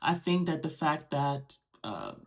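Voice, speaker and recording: Low-pitched woman from Morocco, native French speaker. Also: very animated, a little slow. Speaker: host. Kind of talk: casual vlog monologue.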